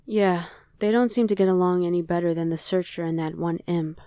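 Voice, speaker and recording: Casual young survivor played by a woman, ASMR drama, lowish mellow voice, very dry recording. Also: level tone, a little fast, clean tone, no echo, lightly acted. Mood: casual, explaining. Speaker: voice actor. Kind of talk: ASMR roleplay acting.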